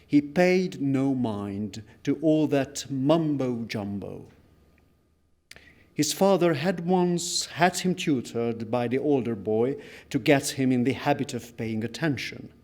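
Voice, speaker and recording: A man with a medium-pitched voice reads prose aloud at an unhurried, slow pace. He phrases it in a measured, dramatic way, as a literary reader would. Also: darkish tone. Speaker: reader at a book launch.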